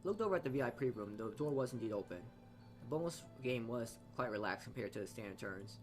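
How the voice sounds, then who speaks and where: A man's voice: medium pitch, expressive, fast talker, faint music behind. A man reading a visual novel's narration aloud as a let's-player, often stumbling and rereading phrases.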